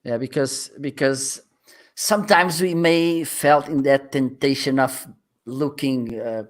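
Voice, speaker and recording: Slow, halting Portuguese-accented man. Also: medium pitch, steady tone. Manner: halting, restarts often, laughs easily. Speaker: host. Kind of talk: live interview show.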